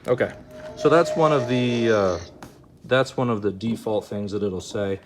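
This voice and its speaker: A man hosting a casual, unscripted demo video, speaking in a halting way and repeating himself. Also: fairly low pitch, loud music behind the voice. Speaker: host of a hobby gadget demonstration video. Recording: noticeable background noise.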